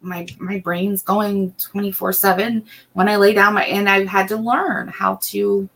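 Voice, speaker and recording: A middle-aged woman co-hosts a podcast in a slow, rambling way, full of ums and restarts. Her voice is bright and edged with vocal fry. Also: mid-range voice, little pitch movement. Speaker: co-host and assistant. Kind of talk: casual podcast chat.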